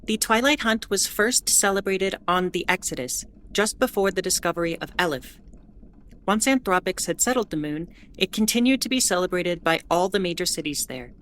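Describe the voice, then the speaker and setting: A woman's voice: fairly low pitch, lively intonation, moderate pace, fairly bright tone, a little hiss or hum. A woman playing a wry, defiant bulletin host aboard a spaceship, narrating a scripted audio drama in a conversational, explanatory way. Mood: resigned, formal. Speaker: host of an in-world radio bulletin.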